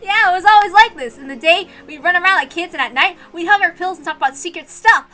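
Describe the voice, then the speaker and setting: A woman voicing a lively, playful teenage girl who talks in an animated, teasing way in a story reading. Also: very high voice, fast, faint music behind, pitched above the performer's own voice. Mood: excited.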